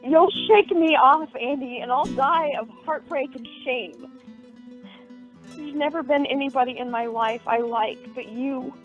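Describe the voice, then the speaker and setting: A woman's voice: high voice, a little slow. A woman acting an emotional, pleading young sweetheart in a radio play, earnest and melodramatic. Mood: pleading, distraught. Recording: tinny narrow-band audio, faint music.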